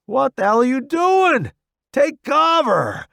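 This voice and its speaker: A man playing a reckless, gung-ho young soldier under fire in a dramatised audiobook, shouting his words. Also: extremely high pitch, huge pitch swings, takes it slowly, vocal fry, no room sound, clean studio sound, voice pushed up, full character voice. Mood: urgent, commanding. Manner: shouting, yelling orders. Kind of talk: dramatised audiobook character dialogue.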